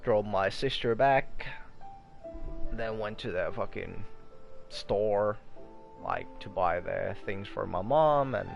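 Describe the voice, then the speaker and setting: A man's voice: lowish voice, slow, creaky, long pauses, lo-fi sound. A tired man streaming a game, chatting casually in a rambling, sweary way. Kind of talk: casual livestream chat while playing. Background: game music.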